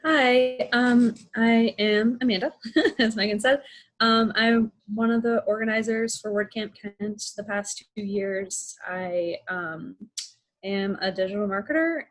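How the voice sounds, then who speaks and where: A woman introducing herself on a video call, hesitant and pausing with ums. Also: mid-range voice, steady tone, rough recording. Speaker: event organizer, digital marketer.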